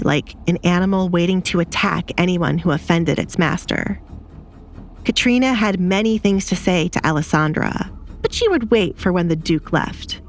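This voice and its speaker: Low-voiced woman narrating over music. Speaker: audiobook narrator. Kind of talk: scripted dramatic fiction narration.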